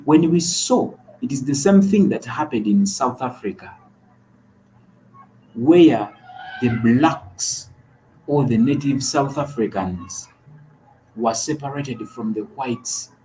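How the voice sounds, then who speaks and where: A man's voice: mid-range voice, expressive, slow pace, long pauses, dark tone, a little hiss or hum, rough recording. A man from Uganda co-hosting a talk show, giving earnest political commentary and often repeating words as he restarts.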